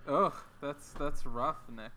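A man's voice: fairly high pitch, slow pace, sharp tone. A young adult man co-hosting a podcast, joking in casual chat and often restarting his words. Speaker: podcast co-host.

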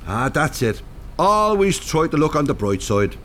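A man's voice: a little high, very animated, normal speed, fairly bright tone, a little hiss or hum. An actor playing a mild, agreeable older man with a Dublin accent in a radio drama, cheerful and inquisitive. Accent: Dublin Irish.

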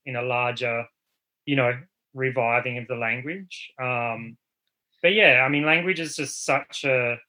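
A man's voice: medium pitch, sharp tone, low-quality recording. A man from Australia speaking as an artist guest in a casual panel talk, thoughtful and given to fillers and restarts.

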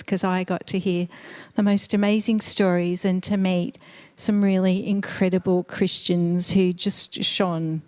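A middle-aged woman, born in Eastern Europe and raised in Australia, recounts her calling as a missionary nurse. She speaks earnestly and thoughtfully, pausing with soft ums and small laughs, at an ordinary middle pitch. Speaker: missionary nurse, interview guest. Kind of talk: church interview, personal testimony. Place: church sanctuary.

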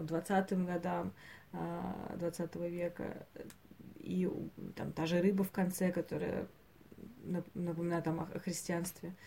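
A woman co-hosting a podcast, talking casually about animated films in a hesitant, thinking-aloud way, given to chuckling. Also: fairly low pitch. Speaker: podcast co-host.

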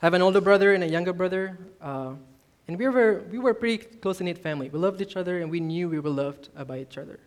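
A man's voice: sits high, quick pace. A man in his twenties from Malaysia, a youth minister preaching a personal testimony sermon in an earnest, self-deprecating way. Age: 20s.

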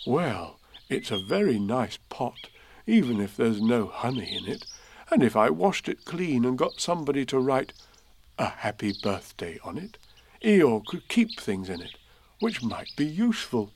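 Storybook bear, played by a male narrator: earnest, modest, childlike, medium pitch, some noise behind. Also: sing-song, measured pace. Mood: pondering.

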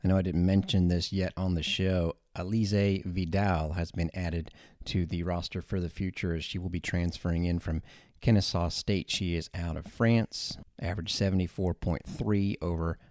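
Low-voiced guy doing college sports radio, dark tone, dry studio sound, chatty and loose. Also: flat delivery, moderate pace, no echo. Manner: chatty, informal, rambling asides. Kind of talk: casual sports talk radio.

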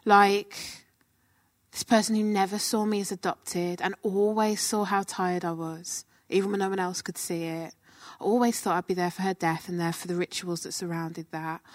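A woman's voice: on the low side, flat delivery, moderate pace, dry recording, clean studio sound. A woman performer from Birmingham answers an audience question at a post-show talk, candid and reflective, thinking aloud as she goes.

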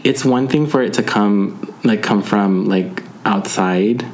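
A man's voice: lowish voice, slow, crowd noise. A young man co-hosting a podcast, talking candidly and agreeing often, with many fillers. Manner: supportive listener, frequent "mm-hmm", filler-heavy. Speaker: podcast co-host. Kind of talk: candid personal conversation.

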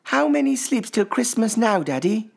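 Little bear cub, storybook character, very high pitch, childlike, eager, impatient, excitable. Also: male voice, normal speed, gravelly, full character voice. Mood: hopeful, childlike.

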